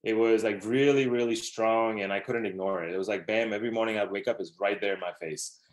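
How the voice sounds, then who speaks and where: A man's voice: on the low side, fairly even intonation, a little fast, poor audio. A man in his thirties from Dubai, a podcast guest telling his life story in a loose, rambling way with many fillers. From Dubai, Middle East. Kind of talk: casual storytelling interview.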